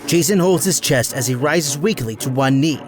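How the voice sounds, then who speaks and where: Guy narrator for action audio drama, slightly high voice, loud music under. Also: moderate pace.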